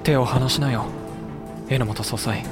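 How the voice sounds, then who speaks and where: An actor playing a composed, grave samurai official who speaks and narrates in a measured way in a Japanese period voice drama. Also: male voice, medium pitch, fairly even intonation, over music, lightly acted.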